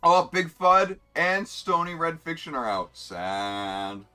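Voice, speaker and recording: A young man rambles through casual game commentary in a bright, forceful voice. His pitch swings widely as he jokes and reads out player names. Soft music plays faintly behind him. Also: big pitch swings, slow pace, clear, resonant tone. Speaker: streamer.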